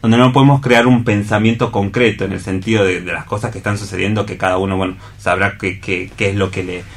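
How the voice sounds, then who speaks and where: A man's voice: on the low side, fairly even intonation, quick pace. A man with an Argentine accent leading a casual round-table radio discussion, circling his ideas and repeating phrases as he goes. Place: radio programme.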